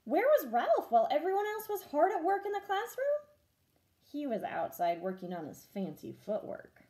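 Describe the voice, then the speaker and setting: A woman teacher reads a children's story aloud. Her voice is fairly high and mellow, and her intonation is very animated and expressive throughout. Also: normal speed.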